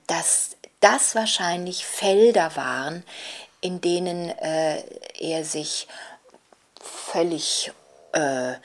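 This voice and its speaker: A woman giving reflective interview commentary in a halting, deliberate and articulate manner. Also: sits low, vocal fry, fairly bright tone, husky. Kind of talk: reflective spoken commentary in a documentary.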